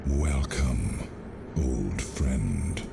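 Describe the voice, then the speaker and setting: Extremely deep, gravelly ancient sea lord. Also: male voice, lively intonation, full character voice. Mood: solemn welcome. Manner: grave, portentous, deliberate. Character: ancient Lord of the Seas, grave, wise male being. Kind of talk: dramatic video game acting. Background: loud music.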